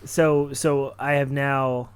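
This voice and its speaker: Male tabletop player, clean smooth voice, casual and hesitant, restating his moves. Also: mid-range voice, level tone, takes it slowly, no room sound. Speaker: tabletop role-playing game player. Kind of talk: casual in-game action description.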